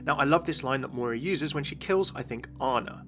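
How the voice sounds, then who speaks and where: A man's voice: medium pitch, brisk. A man presenting game lore as an enthusiastic scripted video narration. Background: music.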